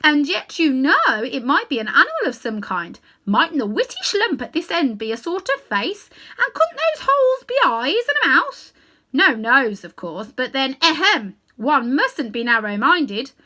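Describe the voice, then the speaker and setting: A woman's voice: high voice, sing-song, measured pace, sharp tone, pitched above the performer's own voice, full character voice. A woman voicing a large, thoughtful she-elephant in a storybook, prim and mild.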